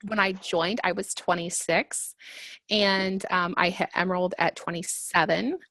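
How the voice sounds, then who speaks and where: A woman's voice: lowish voice, fairly even intonation, unhurried, a bit bright, no echo, low-quality recording. A woman of thirty, chatty and giggly, telling her story with many fillers. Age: 30s. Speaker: panelist sharing her business story. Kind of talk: casual personal testimony.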